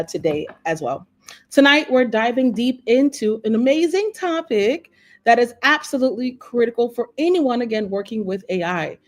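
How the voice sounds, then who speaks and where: An upbeat woman hosts a live online workshop over a video call. She speaks effusively and piles on praise, at a middle pitch for a woman. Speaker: workshop host.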